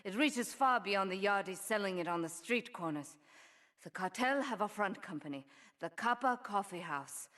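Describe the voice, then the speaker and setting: A woman's voice: medium pitch, expressive, moderate pace, fairly bright tone, close to an everyday voice. An actress playing a cool, ruthless female crime boss in a video game, poised and matter-of-fact about violence. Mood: dry, resolute. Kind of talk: scripted video game cutscene acting.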